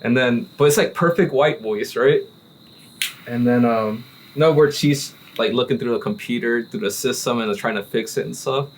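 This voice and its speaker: A man hosting a casual cigar podcast, telling a story in an animated way and peppering his talk with "like" and "right?". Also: mid-range voice, normal speed, a little hiss or hum. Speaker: podcast host. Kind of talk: casual chat. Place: relaxed cigar-smoking hangout with friends.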